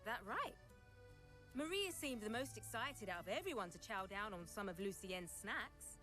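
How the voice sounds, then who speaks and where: A woman's voice: medium pitch, very animated, moderate pace, sharp tone, soft background music. A woman playing a cheerful, eager young student with perky delivery and nautical phrases. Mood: upbeat.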